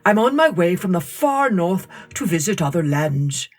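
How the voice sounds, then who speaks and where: A woman's voice: on the low side, very animated, normal speed. A woman voicing the sons of a frost spirit, courteous and formal travelling men in a storybook tale. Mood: matter-of-fact. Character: Father Frost's travelling sons, polite wintry male strangers.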